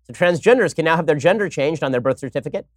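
A man hosting an opinionated political commentary show, talking in a wry, sarcastic way and moving briskly from segment to segment. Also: fairly high pitch, animated, no echo, studio quality. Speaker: host.

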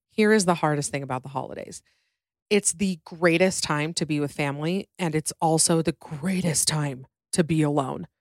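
Woman, podcast host, chatty, wry, joking, slightly low voice, dry close mic. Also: dry recording. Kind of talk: casual chat reacting to voicemails.

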